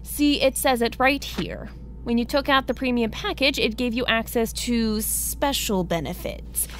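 An actress playing an unruffled, businesslike insurance receptionist, patiently explaining in a comedy audio drama. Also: female voice, a little high, a little slow, fairly bright tone, slight background noise. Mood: brisk, composed. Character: brisk, unruffled female insurance office receptionist.

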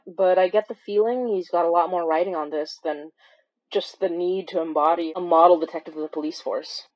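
A woman's voice: on the low side, darkish tone, muffled recording, lightly acted. An actress playing a wry, weary young woman who recounts eerie events in a conversational, hesitant way, as in a horror fiction podcast. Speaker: audio drama lead narrator. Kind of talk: confessional first-person horror podcast narration.

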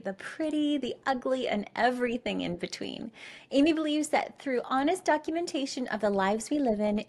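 A middle-aged woman hosts an upbeat interview podcast. Her voice sits slightly high, and she speaks effusively and with emphasis, close to the microphone. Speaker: show host. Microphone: close podcast mic on a boom arm.

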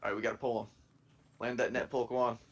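A man's voice: medium pitch, flat delivery, fast talker. A man chatting casually as a teammate during gameplay. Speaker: gaming teammate.

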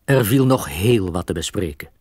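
A man's voice: low voice, lively intonation, normal speed, darkish tone, breathy edge, dry recording, studio quality. A man narrating a scripted children's story as a storyteller.

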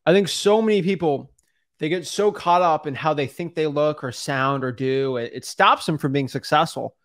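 A man's voice: on the high side, normal speed, a bit bright. Rambling young man, studio mic. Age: young adult. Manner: rambling, filler-heavy, addresses listeners as guys. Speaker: co-host. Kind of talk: casual podcast coaching talk. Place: studio with backdrop. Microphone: studio mic on boom arm.

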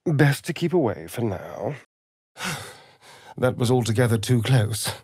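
A man's voice: mid-range voice, big pitch swings, measured pace, darkish tone, slightly rough, no echo, studio quality. A man playing a sly, vain, sardonic vampire rogue in a fantasy video game, with an arch, theatrical drawl. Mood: wry, casual.

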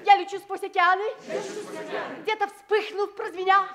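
An actress playing a proud, cruel young princess in a theatrical radio play. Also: female voice, very high voice, little pitch movement, normal speed, a crowd in the background. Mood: imperious, riddling.

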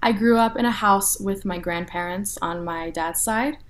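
A young woman from North Texas, speaking as an interview guest in a relaxed way with lots of "you know" and "like" fillers. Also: medium pitch, moderate pace. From North Texas, USA. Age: young adult. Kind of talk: casual interview talk.

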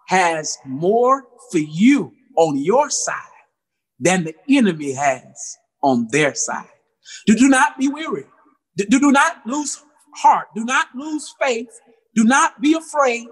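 A man's voice: extremely high pitch, a bit bright, husky, poor audio. An American man in his thirties preaching a sermon in an emphatic, exhorting manner, repeating phrases for effect. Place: church room with high ceiling, indoors. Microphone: phone propped a short distance away.

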